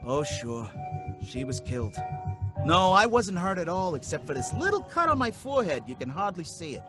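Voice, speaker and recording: An actor playing a jittery working-class cab driver in an old-time radio drama, pleading and excitable with folksy slang. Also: male voice, sits high, normal speed, over music. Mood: rattled recounting.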